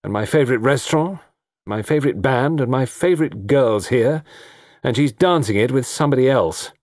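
A man voicing a flippant, lovesick young society gentleman with a theatrical, bantering, mock-gloomy way of talking. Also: medium pitch, sing-song, moderate pace, no room sound, clean studio sound, voice pushed up. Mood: mock misery.

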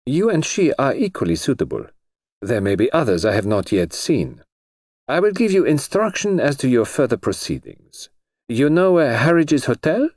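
A man putting on a crisp, formal foreign gentleman. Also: mid-range voice, sing-song, moderate pace, no echo, studio quality, pitched above the performer's own voice. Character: brisk mysterious foreign gentleman, middle-aged man.

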